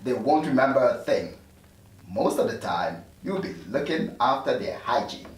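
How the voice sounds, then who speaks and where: A man's voice: medium pitch, animated, moderate pace, a little hiss or hum, natural voice. A man playing an unruffled, instructive medical supervisor in a drama table read. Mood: matter-of-fact. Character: matter-of-fact male medical supervisor in the afterlife. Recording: echoey room, distant mic.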